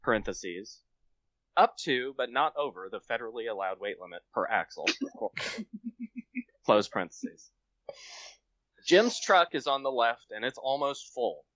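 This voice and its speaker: A man's voice: medium pitch, big pitch swings, measured pace, pauses often, a bit bright, no echo, lo-fi sound. A man on a podcast reading his own comic short story aloud. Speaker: podcast guest, amateur writer.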